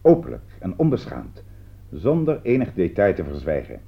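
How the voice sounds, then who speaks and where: A man's voice: low voice, huge pitch swings, normal speed, vocal fry, slightly rough, heavily acted. A man acting in a radio play as a shrewd, accusing police commissioner, questioning and reasoning methodically and with emphasis. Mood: confident, emphatic. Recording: dull lo-fi radio recording.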